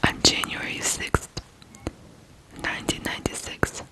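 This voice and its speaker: An ASMR host from Ukraine telling a true crime story in a hushed, deliberate whisper.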